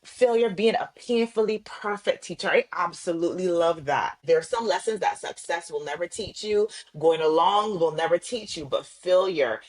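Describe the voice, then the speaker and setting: A woman hosting a podcast, reading an emphatic scripted intro and then chatting warmly with affirming interjections. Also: on the low side, normal speed, few pauses. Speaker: podcast host.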